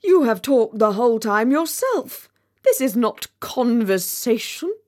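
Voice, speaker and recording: A woman's voice: medium pitch, lively intonation, measured pace, lightly acted. A woman voicing a vain, pompous male rocket in a storybook, haughty and easily offended. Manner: pompous, haughty, self-important. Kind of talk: storybook character voice.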